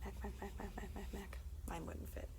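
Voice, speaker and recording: A woman reading a children's book aloud as a librarian, conversational and expressive, often dropping into hushed, confiding tones. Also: mid-range voice, moderate pace, background noise. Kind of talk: animated read-aloud of a children's book with brief asides.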